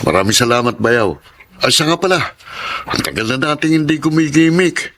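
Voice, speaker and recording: An actor with a Filipino accent playing a carefree, reckless brother-in-law in a casual radio drama style. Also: male voice, a little high, lively intonation, moderate pace, fairly bright tone.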